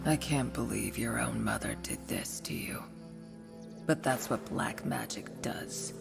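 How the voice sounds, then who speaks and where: A woman playing a bitter, grieving hunter in a dramatic motion comic, hushed and brooding, with a breathy, creaky voice. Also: extremely low pitch, lively intonation, a little slow, over music, exaggerated voice. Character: bitter, grieving woman hunter who hates dark magic.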